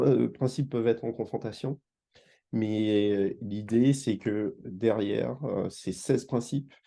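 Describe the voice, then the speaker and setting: A man's voice: medium pitch, creaky, dark tone. A man from Martinique giving an informal conference presentation as a guest speaker, explaining in a hesitant way with repeated words. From Martinique, France. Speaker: engagement manager, guest speaker.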